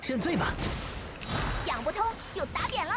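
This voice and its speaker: A forceful young female fighter from a video game. The voice is high and swings widely through short commanding battle cries, under loud game music. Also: sing-song, normal speed. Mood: fierce, commanding. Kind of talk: Chinese video game voice acting.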